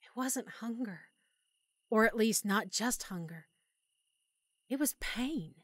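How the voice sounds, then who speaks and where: A woman's voice: on the high side, animated, slow, a bit bright, no room sound, clean studio sound, full character voice. A woman voicing a young woman who is turning into a zombie, reading out her final letter in a strained, fearful way. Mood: desperate. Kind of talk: audiobook character monologue.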